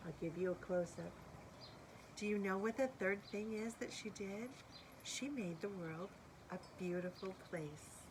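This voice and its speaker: A woman's voice: mid-range voice, a little slow, background noise. A woman reading a picture book aloud expressively, with asides and questions to young listeners. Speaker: storybook reader.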